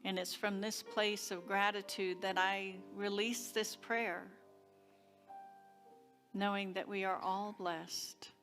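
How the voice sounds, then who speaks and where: Female church service leader, bright tone, for prayers and congregational notices. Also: medium pitch, little pitch movement, takes it slowly, faint music behind.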